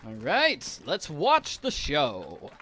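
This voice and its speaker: Animated man doing game walkthrough commentary. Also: fairly high pitch, drawn-out words, faint music behind. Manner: chatty, acts out quoted lines. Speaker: video game walkthrough host.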